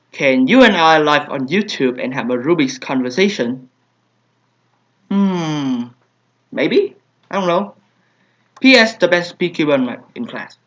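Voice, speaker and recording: A young man, a native Vietnamese speaker with a Vietnamese accent, answering viewer questions in a hesitant, casual way with many fillers. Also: a little high. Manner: hesitant, many fillers and soft trailing asides. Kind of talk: casual Q&A vlog. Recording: dull lo-fi home recording.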